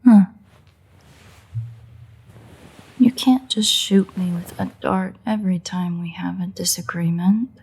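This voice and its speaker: A sardonic female vampire played by a woman speaks close and intimately, as in a roleplay audio drama. Her voice is slightly low and breathy-husky, with plenty of gravelly creak. She is dry, teasing and mocking, with grudging exasperation and a crude edge. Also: normal speed, darkish tone, low-quality recording. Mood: mocking, defiant.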